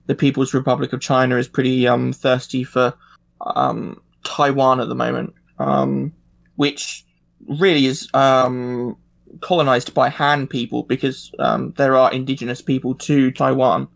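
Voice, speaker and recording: A man in a casual political discussion, talking in a halting, searching way. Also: medium pitch, flat delivery, vocal fry. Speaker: panelist.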